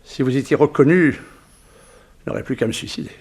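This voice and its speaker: A man's voice: mid-range voice, normal speed, darkish tone, husky, lightly acted. A French man acting in a 1960s radio drama as a bitter doctor under coercion, formal and resigned. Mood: bitter, despairing. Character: bitter, grave male doctor coerced by criminals.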